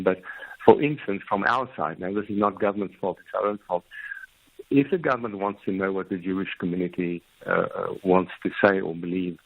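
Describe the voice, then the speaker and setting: Guy on a phone line, deep rough voice, keeps repeating himself, sounds tinny and dry. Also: dry recording. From South Africa. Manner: halting, many repeated words. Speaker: Afrikaner community guest. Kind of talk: radio interview.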